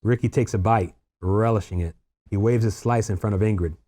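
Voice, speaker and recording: A man's voice: fairly low pitch, fairly quick, warm tone. A man narrating the stage directions of a screenplay as a scripted read.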